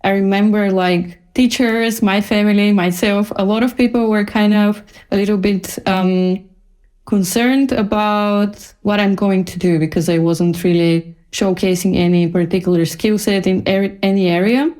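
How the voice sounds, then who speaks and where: A woman's voice: mid-range voice, fairly even intonation, normal speed, darkish tone. A woman from Bulgaria, a designer speaking casually as a guest in an interview.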